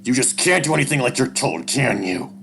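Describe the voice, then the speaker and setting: A man's voice: deep voice, moderate pace, vocal fry, noisy background. A man voicing a stern, scornful animal father in an audio story, harsh, commanding and accusatory. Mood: scolding.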